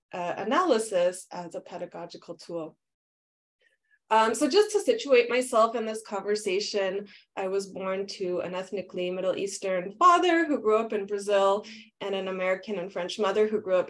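A woman speaks through a video call from a small room, presenting a conference paper. Her delivery is measured and scholarly, full of small ums and uhs as she works through her ideas. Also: medium pitch. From Canada. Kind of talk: academic conference talk.

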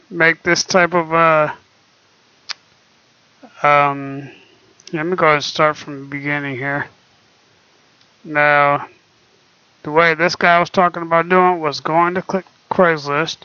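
Soft-spoken man on a lo-fi laptop mic. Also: fairly high pitch, level tone, slow, long pauses, smooth, no room sound. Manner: soft-spoken, hesitant, many fillers. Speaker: vlogger. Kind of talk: casual screen-recorded talk. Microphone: laptop microphone.

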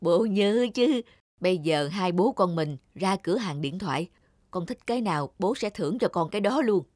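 A woman's voice: fairly low pitch, expressive, normal speed, darkish tone, no room sound, clean studio sound, voice pushed down. A woman voicing a proud, affectionate middle-aged father in a cartoon story. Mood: proud, fond. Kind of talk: cartoon moral-story voice acting.

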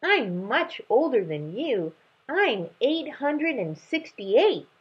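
A woman's voice: sits high, big pitch swings, slow pace, breathy edge, muffled recording, pitched above the performer's own voice, exaggerated voice. A woman voicing a cheeky, teasing young lion cub in a children's storybook read-aloud, with a blunt childlike manner. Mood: curious, boastful. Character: cheeky, giggly young male lion cub, stone statue.

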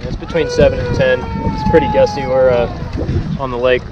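A young man in casual narration from a small sailboat on a lake, talking through his sailing with many "uh" pauses. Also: mid-range voice, level tone, lo-fi sound. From Texas. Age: young adult. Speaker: sailing vlogger. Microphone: action camera on the boat. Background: wind, water and loud music.

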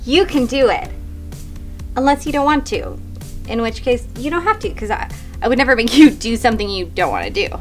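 A woman's voice: on the high side, sing-song, brisk, faint music behind. A woman playing a meek, over-accommodating home video workout instructor in an exercise parody. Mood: meekly encouraging. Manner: encouraging, then apologetic and backpedaling.

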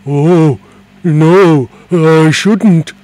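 A man's voice: a little high, lively intonation, takes it slowly, darkish tone, voice pushed up. A man voicing a sweet, lonely storybook dragon. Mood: pleading. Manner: plaintive, wheedling storybook voice. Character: gentle lonely male dragon, eager and sweet-natured.

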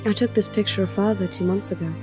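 A woman's voice: mid-range voice, steady tone, quick pace, close to an everyday voice. A woman playing a fiery, grieving young princess and protective twin sister in an audio drama. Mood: sorrowful. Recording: music under the voice.

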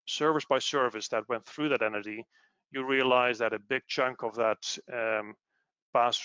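A man explaining business material in a webinar in a measured, halting way over an online call. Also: medium pitch, sharp tone, clear, resonant tone, no room sound. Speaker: webinar presenter, tax expert. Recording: dull lo-fi online audio.

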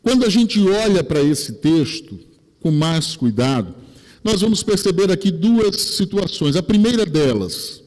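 Middle-aged man, Brazilian accent, very high-pitched voice, pastor preaching, emphatic, long hall reverb. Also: sing-song, measured pace, a little mellow. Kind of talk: sermon. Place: church pulpit, indoors. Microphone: headset microphone.

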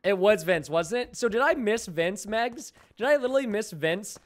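A man hosting a live game stream with friends, talking over play in a playfully dramatic, excitable way. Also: high voice, moderate pace. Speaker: gaming streamer host. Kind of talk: live gameplay commentary with friends.

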